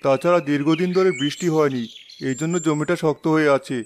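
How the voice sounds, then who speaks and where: An actor playing an honest, steady farmer and elder brother in a cartoon, giving advice. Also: male voice, a little high, brisk, slight background noise, close to an everyday voice. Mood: advising. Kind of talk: cartoon dialogue.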